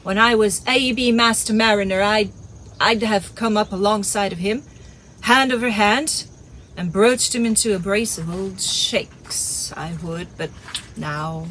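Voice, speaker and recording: A woman reading an audiobook, voicing a crafty, blustering one-legged male pirate cook with loud sea-dog talk. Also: medium pitch, a little slow, slight background noise, close to an everyday voice. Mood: boisterous.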